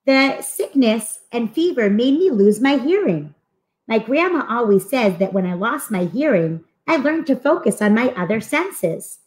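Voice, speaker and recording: Woman reading as eager, expressive schoolkids, very lively. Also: fairly high pitch, unhurried. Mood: earnest. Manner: expressive, earnest. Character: friendly, eager schoolchildren in a comic book. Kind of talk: animated storybook read-aloud.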